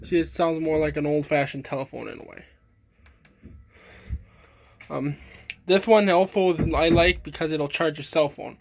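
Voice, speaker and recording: A man giving a casual, halting, informal show-and-tell demonstration as a hobbyist. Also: a little high, gravelly, noisy background. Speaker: hobbyist demonstrating a radio collection.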